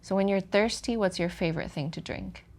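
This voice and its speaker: A woman teaching a language in a casual chat, slowing down to model example sentences clearly. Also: mid-range voice. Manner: animated, slows down for model sentences.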